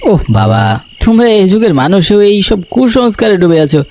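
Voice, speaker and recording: A man's voice: high-pitched, sing-song, moderate pace, darkish tone, slight background noise. A man voicing a cocky, sceptical young landlord's son back from the city in a cartoon. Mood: mocking, annoyed. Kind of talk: dramatized cartoon dialogue.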